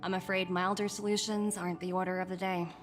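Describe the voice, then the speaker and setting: An actress voicing a poised, polite businesswoman debt collector in a video game, articulate and measured. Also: lowish voice, flat delivery, close to an everyday voice. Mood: firm, businesslike. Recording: background music under the voice.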